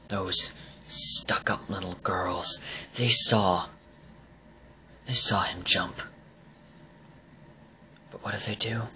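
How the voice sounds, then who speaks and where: Man acting a low, brooding, bitter young killer. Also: pushed and bright, muffled recording, a little hiss or hum, exaggerated voice. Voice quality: breathy, often dropping to a whisper. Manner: halting, menacing confession. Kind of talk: dramatic villain monologue.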